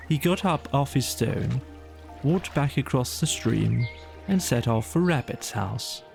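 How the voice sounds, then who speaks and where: A man narrating a children's bedtime story in a slow, soothing way. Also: medium pitch, vocal fry. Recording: soft music behind.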